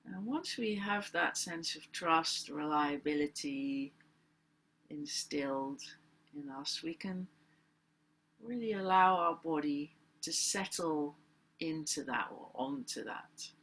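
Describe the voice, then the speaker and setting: A woman guides a meditation with unhurried, very slow delivery. Her voice is husky and crackles with fry, yet has a bright edge. She gives gentle, deliberate instructions and leaves long pauses between phrases. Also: sits low, expressive. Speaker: meditation teacher.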